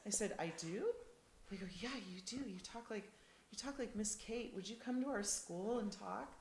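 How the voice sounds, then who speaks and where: A woman from the United States, chatting casually in an interview, with many ums and lively storytelling. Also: medium pitch, moderate pace, reverberant, noisy background. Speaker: interviewee, rider's partner.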